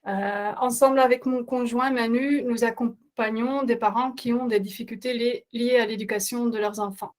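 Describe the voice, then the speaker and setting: A woman's voice: a little high, fairly even intonation, moderate pace, few pauses, a little mellow. A middle-aged woman with a non-native accent, hosting a webinar as a parenting coach, speaking earnestly with frequent hesitations. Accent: non-native speaker of French. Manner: hesitant, many filler sounds, earnest. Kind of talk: webinar presentation.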